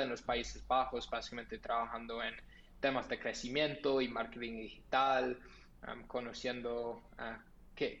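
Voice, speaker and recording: American man speaking Spanish, halting. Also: medium pitch, a bit bright, highs cut off, slight background noise. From Los Angeles, United States. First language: English. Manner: halting, many fillers and restarts. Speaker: startup founder and CEO, guest. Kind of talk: podcast interview.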